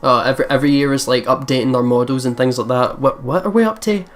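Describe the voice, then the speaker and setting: Chatty mid-pitched let's play host over music. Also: fast talker. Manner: chatty, informal, swears freely. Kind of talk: casual game commentary mixed with reading aloud.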